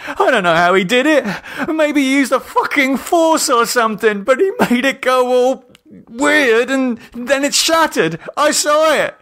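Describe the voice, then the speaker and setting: A terrified man trapped in the dark in a horror audiobook. His voice is pitched very high and strained with panic, frantic and stammering, swinging wildly as he raves and swears. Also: lively intonation, measured pace, hardly any pauses, exaggerated voice. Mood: hysterical, furious. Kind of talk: audiobook character voice.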